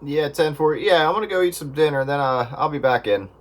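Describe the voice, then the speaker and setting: A man's voice: on the high side, fairly quick. A man chatting casually over two-way radio in plain, folksy radio slang. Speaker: amateur radio operator. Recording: very noisy radio with static and clicks.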